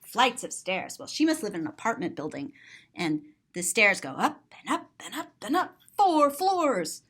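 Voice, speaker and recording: A woman's voice: a little high, very animated, moderate pace, a bit bright, slightly rough. A woman reading aloud to children as a storyteller, expressively, with simple explanatory asides and dramatic gasps. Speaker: storyteller, author and teacher.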